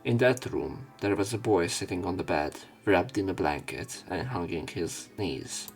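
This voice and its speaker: Very low-voiced man narrating a game. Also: animated, unhurried, faint music behind. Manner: casual, sweary asides. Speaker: let's play narrator. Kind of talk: reading visual novel text aloud with casual asides.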